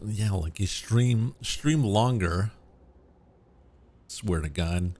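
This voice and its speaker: A young man streaming a game, chatting casually and joking with viewers, his throat a little hoarse. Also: lowish voice, very slow, darkish tone. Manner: joking, self-mocking, musing aloud. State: slightly hoarse. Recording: faint game music behind.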